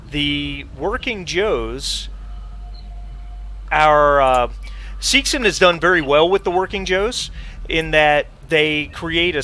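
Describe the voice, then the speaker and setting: Man, game master, tabletop role-playing game, bright voice, unhurried, halting, deliberate, faint noise. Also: on the high side.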